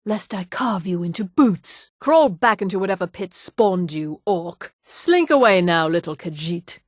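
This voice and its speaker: An actress voicing a haughty, disdainful high elf woman for a fantasy video game, with an imperious, formal delivery. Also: expressive, measured pace, no echo, studio quality. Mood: contemptuous. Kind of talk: video game character voice lines.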